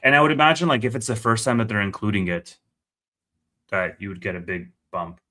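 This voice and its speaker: A man with a fairly low voice and a very bright, forward tone. He hosts a live financial stream in a conversational way, thinking aloud as he goes through the numbers. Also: animated, fairly quick. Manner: conversational, thinking aloud while reading figures. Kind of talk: casual live earnings commentary.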